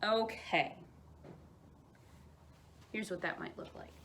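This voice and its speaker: A woman narrating a children's story aloud as a storyteller, in an expressive, dramatized way. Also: medium pitch, takes it slowly, a little hiss or hum.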